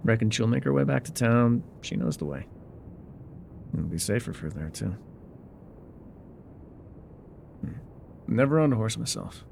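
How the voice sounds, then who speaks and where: An actor playing a gruff, guarded male trail guide in an immersive audio roleplay, with wry, folksy, laconic phrasing. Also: fairly low pitch, sing-song, moderate pace, darkish tone, slight background noise, exaggerated voice. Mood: easygoing. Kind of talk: immersive ASMR roleplay acting, talking to the listener.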